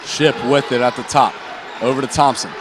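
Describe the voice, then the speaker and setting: A man's voice: medium pitch, fairly even intonation, brisk. A man calling live basketball play-by-play in a radio-style broadcast. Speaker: play-by-play announcer. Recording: loud gym crowd and court noise behind. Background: cheering crowd and bouncing basketball.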